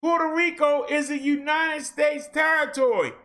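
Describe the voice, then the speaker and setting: A man rants on camera in a casual, colloquial way, pelting listeners with rhetorical questions. His voice sits high for a man and rings bright and forceful. Now and then he breaks into a shout. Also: fairly even intonation, normal speed. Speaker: vlogger. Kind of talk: ranting social commentary.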